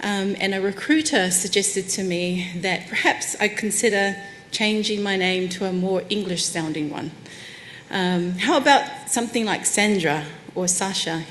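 A woman's voice: medium pitch, unhurried, a little hiss or hum. Candid New woman giving a stage talk. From Samoan heritage, New Zealand. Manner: warm, candid, self-deprecating with light laughs. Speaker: conference speaker. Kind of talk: personal keynote talk. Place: conference stage at a lectern, live audience. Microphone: lectern microphone.

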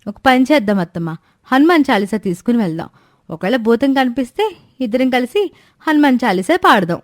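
A woman's voice: on the high side, sing-song, fairly quick, no echo, clean studio sound. A woman voicing an eager, naive young daughter-in-law in a cartoon moral story, confident and respectful as she explains. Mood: agreeable. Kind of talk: animated Telugu moral story dialogue.

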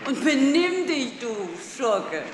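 Lively, fussing mother. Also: sits high, takes it slowly, full character voice. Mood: anxious, affectionate. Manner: admonishing, instructing, motherly. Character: caring, fussing working-class mother of a schoolboy. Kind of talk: 1960s German radio play acting. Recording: vintage record with background noise.

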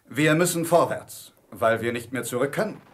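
An actor playing a decisive, level-headed ship's captain in a radio play, speaking firmly and methodically. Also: male voice, mid-range voice, moderate pace, close to an everyday voice. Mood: grave, resolute. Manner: firm, methodical, commanding.

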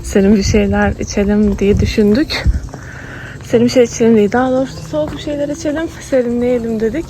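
A woman's voice: a little high, steady tone, quick pace. A woman chatting casually to viewers as a travel vlogger. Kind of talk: casual vlog chat to viewers. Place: outdoors, on town streets. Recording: noisy outdoor recording, street ambience.

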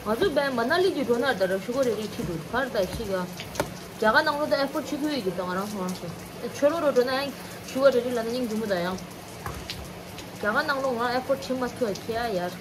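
A woman's voice: fairly high pitch, lively intonation, normal speed, background noise. A young woman in her twenties chatting casually to her phone camera in a small home kitchen, switching between languages and swearing now and then. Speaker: vlogger. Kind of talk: casual vlog chat. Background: background music.

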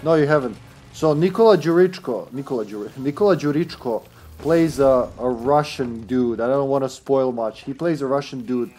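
A man's voice: mid-range voice, normal speed, darkish tone, faint music behind. A man in his thirties chatting casually on a headset while streaming a video game, laughing often and trailing off mid-sentence. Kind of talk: casual gameplay commentary. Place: indoor room at home.

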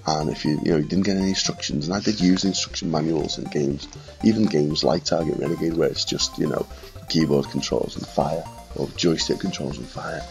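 A man in his thirties with a northwest English accent and a cold, reminiscing quietly and casually to camera in a room at home. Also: very deep voice, steady tone, a little fast, vocal fry, soft background music, rough recording. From Liverpool and Widnes, England. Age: 30s. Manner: quiet, subdued late-night talking. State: congested with a cold. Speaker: retro gaming video maker. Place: small furnished room at home, indoors.

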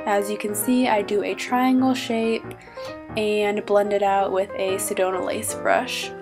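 A woman's voice: medium pitch, level tone, a little slow, over loud music. A young woman with a stuffy cold, chatting upbeat through a makeup tutorial in her small bedroom and naming each product as she goes. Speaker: beauty vlogger.

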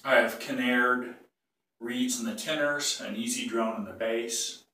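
An older man with a cold teaches bagpipe technique in a casual, rambling way. He keeps clearing his throat and stops to correct himself. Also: mid-range voice, steady tone, measured pace, bright, forceful tone. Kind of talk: casual tutorial. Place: small tiled bathroom. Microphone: phone or camera some feet away. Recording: echoey bathroom, voice from a distance.

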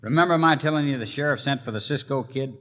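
A man's voice: mid-range voice, fast, a bit bright, natural voice. Scheming outlaw voiced by a man, sly drawl, reverby old radio sound. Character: scheming outlaw Joe, cool-headed plotter. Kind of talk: 1950s radio drama acting.

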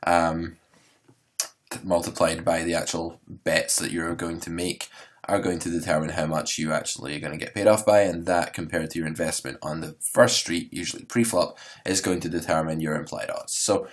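Hoarse low-voiced man explaining poker. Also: normal speed. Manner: relaxed, rambling, filler-heavy explaining. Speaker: poker coach and podcast host. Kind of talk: solo instructional podcast.

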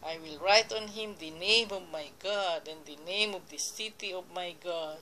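A woman reading Bible verses aloud and explaining them in a slow, deliberate way, now and then correcting herself, recorded on a phone pointed at a computer screen. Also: fairly low pitch, pushed and bright, a little hiss or hum, low-quality recording. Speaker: lay preacher. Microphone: phone camera filming a computer screen.

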